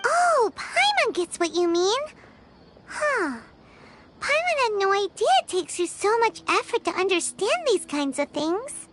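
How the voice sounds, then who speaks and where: A woman voicing a small, bubbly, childlike fairy companion in a video game, squeaky and talking about herself in the third person. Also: big pitch swings, measured pace, background noise, full character voice. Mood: curious. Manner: speaks of self in third person.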